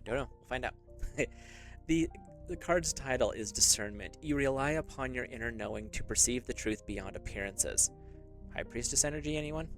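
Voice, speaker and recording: A man's voice: mid-range voice, moderate pace, soft background music. A man giving a casual, rambling tarot reading to his viewers, speaking loosely with fillers and small laughs. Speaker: tarot reader.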